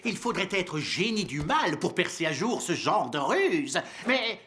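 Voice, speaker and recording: A man's voice: high voice, very animated, a little slow, fairly bright tone, exaggerated voice. A man voicing a sly, mocking trickster in a French cartoon dub.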